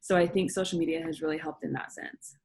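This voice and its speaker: Young woman with a lowish voice. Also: fairly even intonation, quick pace, a little mellow. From Utah. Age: young adult. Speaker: panelist. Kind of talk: panel discussion.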